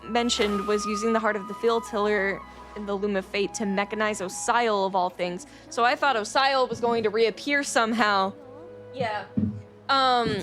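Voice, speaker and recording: Woman game streamer, rambling and playful. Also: fairly high pitch. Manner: rambling, easily sidetracked, playful. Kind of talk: casual chat recapping a game story to live stream viewers.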